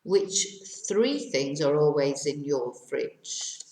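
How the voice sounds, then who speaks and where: Slow, clear woman teaching. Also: sits low, expressive, a little mellow, roomy sound, poor audio. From England. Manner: slow and clear, repeats each question, switches between English and Spanish. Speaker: English teacher. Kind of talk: language lesson for Spanish speakers.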